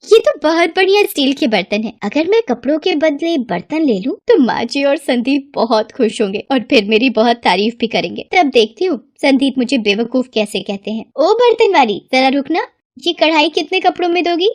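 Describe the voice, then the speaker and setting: A woman voicing a foolish, eager young housewife in an excitable, chirpy way for a cartoon moral story. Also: high voice, moderate pace, no room sound, studio quality. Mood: eager, pleased.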